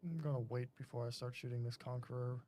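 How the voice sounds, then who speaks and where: A man's voice: mid-range voice, steady tone, fast, dark tone, slight background noise. American man from Missouri, casual gamer. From Missouri, USA. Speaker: stream co-host. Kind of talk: casual chat while gaming.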